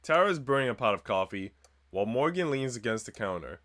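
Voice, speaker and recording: A man's voice: mid-range voice, sing-song, brisk, fairly bright tone, dry recording, studio quality. A man reading a visual novel aloud as a streamer, narrating the script and dropping in slangy, joking asides.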